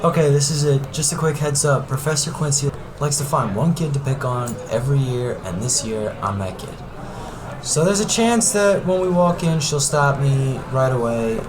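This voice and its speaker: A man's voice: mid-range voice, expressive, normal speed, background noise, lightly acted. A man playing a friendly, helpful teenage schoolboy in an amateur radio play, talking casually.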